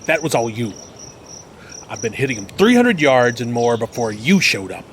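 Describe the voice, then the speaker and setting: An actor playing a crass, belligerent former TV reporter in a podcast drama, talking in a pompous, dismissive way outdoors. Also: male voice, mid-range voice, sing-song, normal speed, lightly acted. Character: crass, belligerent right-wing ex-TV reporter, a man, golfing. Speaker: former roving news reporter. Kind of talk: acted podcast drama dialogue. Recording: noisy outdoor ambience with insects.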